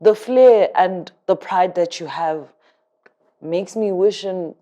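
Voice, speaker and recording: A woman's voice: on the low side, slow pace, mellow, dull audio. A woman talking earnestly and emphatically in a casual chat, often repeating words for stress.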